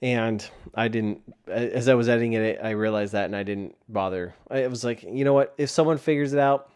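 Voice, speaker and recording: A man's voice: mid-range voice, brisk, darkish tone, clean tone. Tired man hosting a casual podcast. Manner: rambling with frequent restarts. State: sore throat, tired. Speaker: podcast host. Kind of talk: casual solo podcast talk. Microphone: desk microphone.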